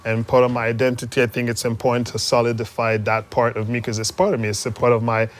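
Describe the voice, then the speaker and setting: A young man from Montreal speaks, his voice sitting in a middle register. He is a musician talking through his thoughts in a casual interview, loose and unhurried, with plenty of fillers along the way. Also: medium pitch, little pitch movement. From Montreal, Canada. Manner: loose and conversational, many fillers.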